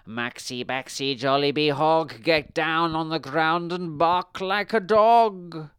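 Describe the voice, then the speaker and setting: A man's voice: fairly high pitch, slow, a bit bright, smooth, dry recording, studio quality, pitched above the performer's own voice. A man voicing a nasty, gleeful old witch in a children's story, with theatrical menace. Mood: menacing.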